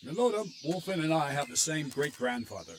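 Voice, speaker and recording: An actor playing a gruff, blunt medieval army captain in a video game cutscene, telling a grim tale in a steady, weighty way. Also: male voice, a little high, brisk, slight background noise, close to an everyday voice. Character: gruff middle-aged male army captain. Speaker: military captain.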